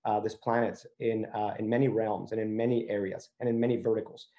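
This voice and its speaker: A man's voice: lowish voice, little pitch movement, brisk, dark tone, dull audio. A man from Venezuela speaking as a company founder on a discussion panel, conversational and peppered with verbal hedging. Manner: conversational, frequent filler "you know" and "kind of".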